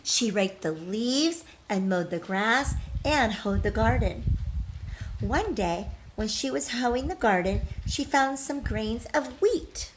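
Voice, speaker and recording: A woman teacher reading a storybook aloud expressively, pausing to put questions to young listeners. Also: on the high side, animated, a little hiss or hum, low-quality recording.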